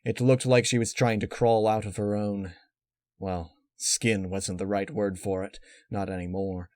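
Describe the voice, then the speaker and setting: Low-voiced man narrating dark fiction. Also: fairly even intonation, dry recording, clean studio sound. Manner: measured, dramatic storytelling. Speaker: story narrator.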